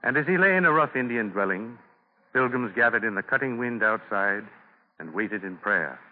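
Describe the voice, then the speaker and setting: A man's voice: on the low side, lively intonation, moderate pace. A man narrating a 1940s radio drama from a script, formal and solemn. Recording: noisy, tinny 1940 radio broadcast.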